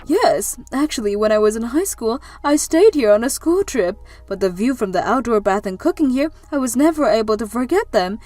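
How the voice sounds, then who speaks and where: Actress as elderly grandmother hotel guest, manga dub: gentle, gracious, somewhat high voice, lively intonation. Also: normal speed, hardly any pauses, no room sound. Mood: delighted. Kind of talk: anime-style manga dub acting. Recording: background music under the voice.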